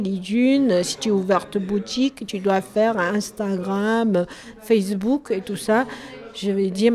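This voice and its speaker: A woman from China speaking French as a second language with a Chinese accent, answering interview questions in a halting way and often correcting herself. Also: medium pitch, a little mellow. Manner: halting, searching for words, self-correcting. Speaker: tea shop owner.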